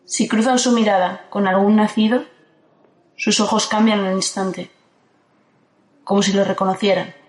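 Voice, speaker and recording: A woman from playing a calm, ageless guardian who speaks in a steady, firm way in an audio drama. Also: medium pitch, normal speed, pauses often, lightly acted. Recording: roomy echo.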